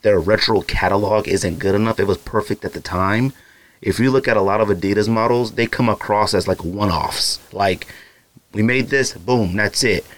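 A man's voice: on the low side, moderate pace, poor audio. Rambling laid-back male podcast host. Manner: loose, rambling, lots of fillers and asides. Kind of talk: casual solo podcast talk.

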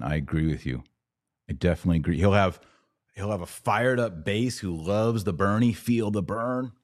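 American man, very low voice, mellow tone, opinionated podcast host, conversational delivery, emphatic repetition, close dry microphone. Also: no room sound. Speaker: podcast host taking live viewer comments. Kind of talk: live political commentary, reading and answering comments.

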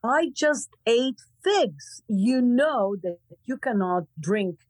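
A mature woman from Croatia with a Croatian accent, telling her story as an interview guest in halting, emphatic phrases. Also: fairly high pitch, expressive, darkish tone, dry recording. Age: middle-aged or older. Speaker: podcast guest.

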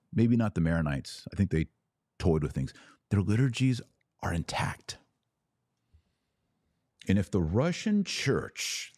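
A man with a deep voice speaks in a dry, close recording. He delivers an unscripted monologue as a religious commentator, emphatic and given to repeating phrases for weight. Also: dark tone, dry recording. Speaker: podcast host and religious commentator.

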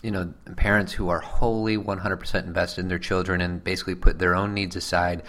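Deep-voiced man in his thirties. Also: level tone, a little fast, fairly bright tone, slight background noise. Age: 30s. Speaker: podcast co-host. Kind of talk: casual conversation.

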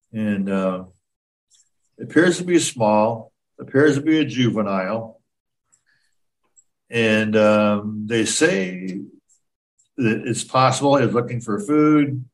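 A man co-hosting a podcast, telling odd news stories casually and playfully, often halting and repeating words. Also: mid-range voice, distant mic. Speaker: podcast co-host.

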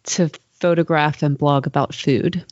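A woman hosting a podcast interview, attentive and encouraging, with frequent soft affirmations. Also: low voice, fairly even intonation, measured pace, dry recording, lo-fi sound. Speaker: podcast host. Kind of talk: conversational interview.

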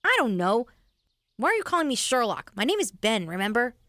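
A woman's voice: fairly high pitch, very animated, a little fast, bright, forceful tone, dry recording, clean studio sound. A woman playing a practical, sceptical young boy in a family audio drama. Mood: matter-of-fact.

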